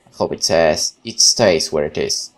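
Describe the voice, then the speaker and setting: A man doing a let's play, reading game text aloud and adding chatty, expressive commentary. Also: extremely low pitch, unhurried. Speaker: gaming YouTuber. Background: guitar music.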